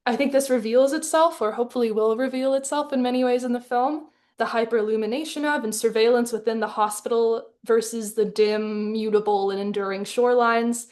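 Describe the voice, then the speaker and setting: Woman, fairly high voice, from Hong Kong, articulate, measured delivery, artist presentation, video call. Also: fairly even intonation, a little mellow. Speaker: artist in residence presenting her animated film. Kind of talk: prepared artist talk, partly read. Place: live online webinar.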